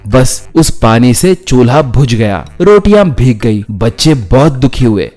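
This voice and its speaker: Slow-paced male storyteller. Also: mid-range voice, big pitch swings, darkish tone, soft background music. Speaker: story narrator. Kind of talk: scripted moral-story narration in Hindi.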